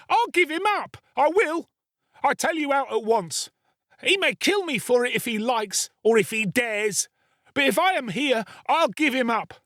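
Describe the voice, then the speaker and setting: A man's voice: very high voice, sharp tone, slightly rough, no room sound, clean studio sound, voice pushed up, full character voice. A man voicing a frantic, terrified young boy who screams shrilly for help, in an audiobook reading. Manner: frantic, desperate. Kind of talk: audiobook character voice.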